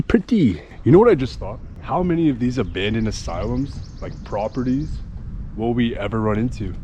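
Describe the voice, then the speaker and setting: A man chatting casually while exploring outdoors, with a playful, joking manner. His pitch swings widely, and faint outdoor ambience sits behind him. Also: slight background noise. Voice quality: animated, joking. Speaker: vlogger exploring abandoned asylum grounds. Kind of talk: casual chat while walking. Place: outdoors on abandoned grounds.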